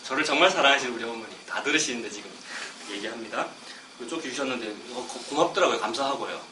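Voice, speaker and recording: A middle-aged man with a cold preaching a sermon, chatting casually between slow scripture readings. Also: medium pitch. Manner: conversational, self-deprecating asides between slow scripture reading. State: has a cold, coughing. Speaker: pastor. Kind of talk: expository sermon. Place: church sanctuary. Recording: roomy, distant mic with background noise.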